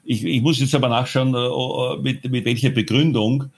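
A man from Austria, telling his own story in an interview, halting often with fillers and restarts. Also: mid-range voice, fairly even intonation, husky.

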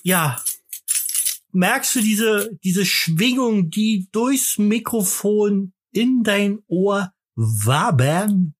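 A man's voice: sits high, lively intonation, very slow, breathy edge. A man co-hosting a podcast in casual banter, rambling and joking while drinking, a bit tipsy. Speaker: podcast co-host.

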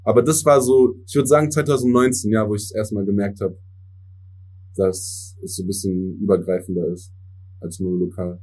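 A young man, a native English speaker, giving relaxed interview answers as a musician and mixing English words into his speech. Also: fairly low pitch, normal speed. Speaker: musician guest.

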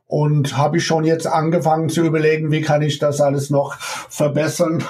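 A man's voice: on the high side, flat delivery, darkish tone, a little hiss or hum. American man speaking hesitant German. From United States. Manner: halting German with many ums and frequent laughs. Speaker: guest, ballet teacher. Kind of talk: casual interview over remote connection.

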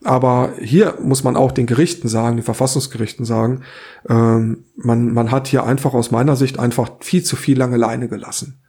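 Male podcast co-host, hoarse with a cold, opinionated and earnest delivery. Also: mid-range voice, fairly even intonation, moderate pace, mellow. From Saarland, Germany. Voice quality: rough, hoarse. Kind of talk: opinionated political podcast talk.

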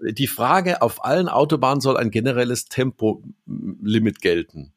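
A man's voice: medium pitch, animated, moderate pace, dry recording, clean studio sound. A middle-aged man co-hosting a casual talk show, speaking hesitantly with many fillers and restarts. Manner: hesitant, many fillers and restarts, drops to muttering when frustrated. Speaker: podcast co-host. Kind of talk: casual conversation.

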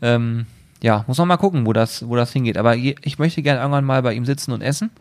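A man co-hosting a podcast in a relaxed, rambling chat, full of enthusiasm. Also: mid-range voice, fast, no room sound. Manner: relaxed, rambling, enthusiastic about grilling gear. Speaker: podcast co-host.

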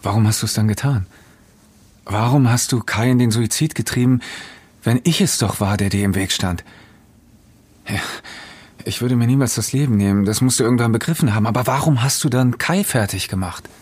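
A man's voice: medium pitch, a little fast, darkish tone, slightly rough, close to an everyday voice. An actor playing a middle-aged male journalist in an audio drama, determined and accusing, with a controlled, dry delivery. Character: determined, accusing male journalist, wounded but quietly triumphant. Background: music.

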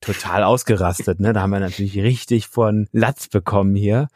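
A man in his thirties from Germany, interviewing a fellow musician in a relaxed, rambling way with lots of fillers. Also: lowish voice, a little fast, no echo, clean studio sound. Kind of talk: casual interview chat.

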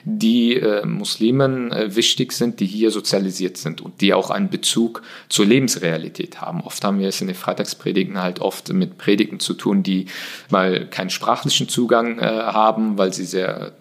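Low gravelly man, earnest and measured. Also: fairly even intonation. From Germany. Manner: earnest, measured, frequent hesitations. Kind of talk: explanatory interview answers.

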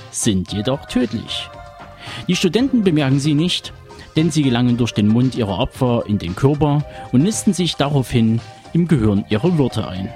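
A man's voice: mid-range voice, darkish tone. Male radio presenter reading scripted segments, mid-range voice over faint music. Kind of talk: scripted narration.

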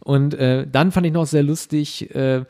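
A man co-hosting a podcast, chatting casually and explaining things at length in a relaxed way. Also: on the high side, measured pace. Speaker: podcast co-host. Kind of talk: casual chat.

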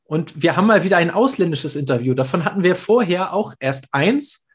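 A man's voice: fairly high pitch, lively intonation, a little fast, husky. A man hosting a podcast interview, talking in an enthusiastic, chatty way. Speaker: podcast host.

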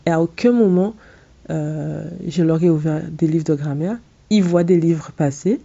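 A woman in her thirties talks straight to her listeners in a very dark, mellow voice. She is earnest and direct, often restarting her sentences. The sound is a little dull and lo-fi. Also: deep voice, measured pace. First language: English. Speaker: podcast host and English coach. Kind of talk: solo podcast monologue giving advice.

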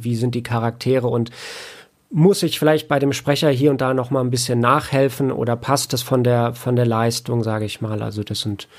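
A man talking casually as an interview guest, explaining his work in a reflective, halting way with repeated words. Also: medium pitch. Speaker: podcast guest, audiobook editor and audio drama producer.

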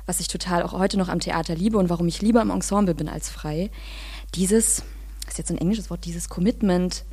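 A woman talking casually as an interview guest, often breaking off and restarting as she tells things in an animated way. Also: fairly low pitch, fairly quick, slightly rough. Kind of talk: casual conversation.

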